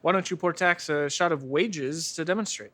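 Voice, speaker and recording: Earnest by-the-book accountant sidekick, slightly high pitch, acted by a man. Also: moderate pace, fairly bright tone, natural voice. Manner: precise, explanatory. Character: helpful male accountant sidekick, precise and earnest.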